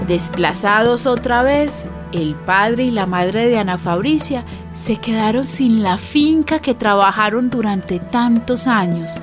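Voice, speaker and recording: An actress playing a neighbour and friend who narrates a radio drama in an intimate, conversational way. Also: female voice, a little high, animated. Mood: storytelling. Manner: conversational, deliberate. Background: music.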